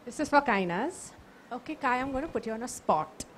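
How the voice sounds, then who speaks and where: A woman's voice: on the high side, lively intonation, a little fast, slight background noise. A woman from the audience asking a playful, teasing question.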